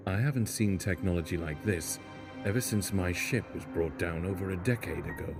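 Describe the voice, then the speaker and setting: A man playing a scruffy, sharp-witted castaway from a crashed spaceship in a fan sci-fi audio drama, guarded and probing in manner. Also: deep voice, normal speed, over music. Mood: sombre. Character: scruffy stranded male alien spaceship crewman, wary, sharp-witted.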